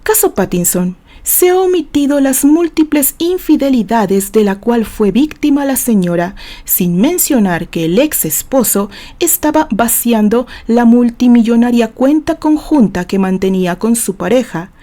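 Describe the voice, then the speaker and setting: An assured defense attorney read by a woman addresses the court in a soft, mellow tone that sits fairly high. The delivery is formal and rhetorical, with pointed emphasis, in the manner of a dramatized novel. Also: expressive, measured pace, hardly any pauses.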